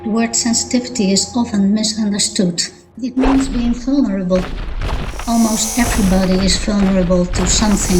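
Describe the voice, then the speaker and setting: A woman's voice: mid-range voice, background noise. A middle-aged woman narrating her own story as a personal testimony. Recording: music behind the voice. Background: music.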